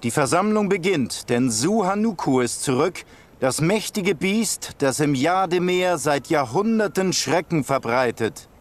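A man's voice: a little high, expressive, measured pace. A man voicing a proud, solemn ceremonial speaker in a fantasy game, formal and oratorical. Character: solemn male ceremonial master addressing warriors, proud.

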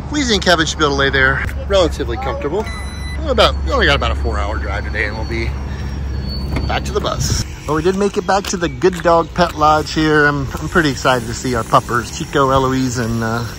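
A man's voice: mid-range voice, animated, fast talker. A man with an American accent chatting casually to viewers as he hosts a travel vlog. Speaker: vlogger and host. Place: in and around a car on a road trip. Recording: noisy car and outdoor road ambience.

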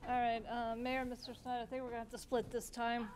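A woman, heard from a distance in a meeting room, gives brisk, cheerful instructions for a group photo. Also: on the high side, flat delivery, darkish tone, a little hiss or hum. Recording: far from the mic in a meeting room.

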